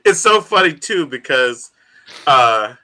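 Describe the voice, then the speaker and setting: Bright, animated man chatting about comics. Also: mid-range voice, slow, a little hiss or hum. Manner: animated, laughs often, many fillers. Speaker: podcast co-host. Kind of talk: casual chat.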